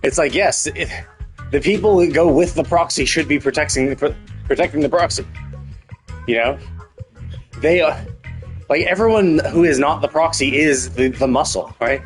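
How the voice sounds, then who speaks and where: A man's voice: a little high, moderate pace, pauses often, faint music behind. A man giving casual, opinionated live game commentary, rambling and repeating himself with some casual swearing. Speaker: live streamer playing a story game.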